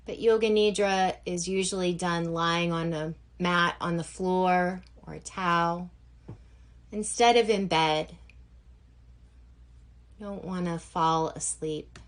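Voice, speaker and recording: Slow, low-voiced woman guiding meditation. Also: steady tone. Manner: gentle, unhurried, with long pauses. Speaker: yoga nidra instructor. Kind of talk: guided meditation instruction.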